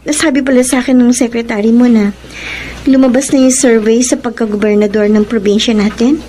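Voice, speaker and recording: A woman's voice: on the high side, warm tone, a little hiss or hum, close to an everyday voice, low-quality recording. An actress playing a devoted, self-blaming woman in a secret romance, tender and earnest, in a Filipino radio drama.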